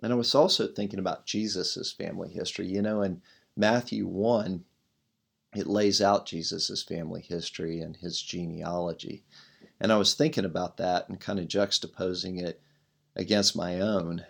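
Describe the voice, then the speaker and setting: A middle-aged pastor with a low, gravelly voice reads his own devotional writing aloud. He is loud and earnest, pressing hard on the words that matter most. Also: steady tone, moderate pace. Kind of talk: reading a devotional blog as a podcast.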